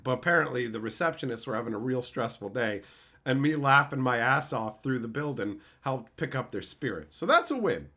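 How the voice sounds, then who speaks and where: A man with a loud voice chatting casually on a live stream, swearing and laughing often. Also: medium pitch, big pitch swings, brisk. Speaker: streamer host.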